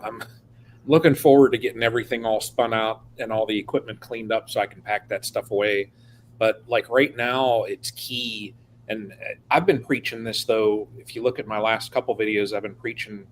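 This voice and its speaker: An American man talks in a lowish voice. He is casual but earnest, leaning on his points as he chats as a co-host. A faint hum sits behind him. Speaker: livestream co-host. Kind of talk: casual chat.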